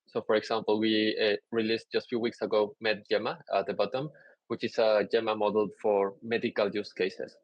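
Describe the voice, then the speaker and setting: A young man from Peru giving a conference talk over slides on a video call, relaxed and explanatory with many "uh" fillers. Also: fairly low pitch, brisk, no echo, lo-fi sound. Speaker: conference speaker. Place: indoor room, on a video call.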